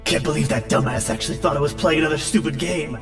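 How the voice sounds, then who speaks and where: A man's voice: fairly high pitch, fast, natural voice. A man voicing a grumpy, power-hungry dragon villain, theatrical and exasperated, in a cartoon parody. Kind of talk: fan parody cartoon dub. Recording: echoey, under loud music.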